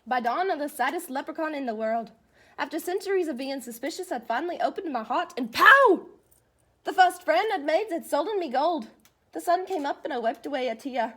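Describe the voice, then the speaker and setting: Lady playing a grumpy old leprechaun guy from a storybook, boastful, grumbly, high voice, very up n down. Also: expressive, brisk, a bit bright. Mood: mournful, indignant.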